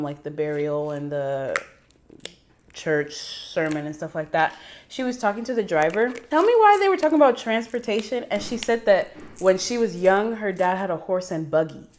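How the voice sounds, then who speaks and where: A woman's voice: lowish voice, slight background noise. A young American woman telling personal stories casually, in a chatty, rambling, slangy way. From New York City, United States. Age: young adult. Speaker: vlogger.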